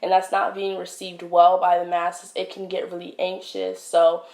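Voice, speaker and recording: A young woman hosting her own podcast, talking in a casual, reflective way with many fillers and pauses, recorded at home on a close mic. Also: fairly low pitch, completely flat delivery, vocal fry, a little mellow. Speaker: podcast host. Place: indoors, home setup. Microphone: wired podcast mic, close. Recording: echoey room.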